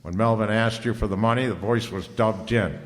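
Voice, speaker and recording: An actor playing a cool, wisecracking private detective in an old-time radio drama, with a sardonic, slangy delivery. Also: male voice, fairly low pitch, moderate pace, natural voice. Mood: accusing, sardonic. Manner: sardonic, slangy, hard-boiled. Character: cool wisecracking private detective, narrating his own case. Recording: reverberant hall.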